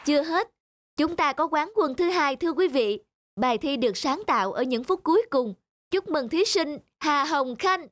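A woman's voice: high voice, expressive, moderate pace, no echo. A woman voicing a ballet contest announcer with a formal, announcing delivery. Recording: music playing underneath.

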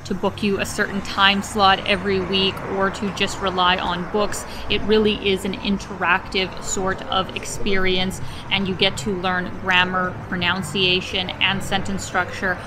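A woman's voice: mid-range voice, little pitch movement, unhurried, hardly any pauses, noisy background. A young woman, a native Russian speaker, chatting candidly into a handheld camera at an outdoor cafe, with frequent laughs. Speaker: travel vlogger. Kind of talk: casual travel vlog talk. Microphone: camera held at arm's length.

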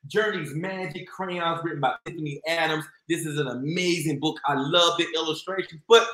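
An American man in his thirties reading aloud to young children as a storyteller, with a theatrical, drawn-out, exclaiming delivery. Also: fairly high pitch, fairly even intonation, bright, forceful tone. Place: small indoor room. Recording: roomy echo.

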